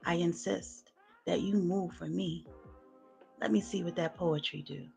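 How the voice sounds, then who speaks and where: A woman performing sensual spoken word poetry in a soft, smooth, hushed voice. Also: fairly low pitch, steady tone, faint music behind. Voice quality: soft, smooth, breathy-edged voice. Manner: hushed, slow sensual delivery with soft laughs in chat. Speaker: guest poet.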